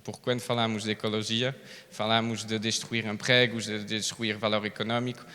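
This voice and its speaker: A man's voice: on the low side, level tone, brisk, bright, forceful tone, clean tone. A young man from Belgium speaking as a non-native with a Belgian accent, giving an informal talk as a startup founder. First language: not Portuguese, a foreign speaker. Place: large indoor hall, public event. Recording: echoey hall with long reverb.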